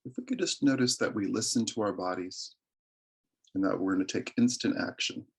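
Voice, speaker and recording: A man leading a guided meditation with prayer and affirmations, speaking in a soothing, deliberate, reverent way. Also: fairly low pitch, animated, vocal fry, husky. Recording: live recording.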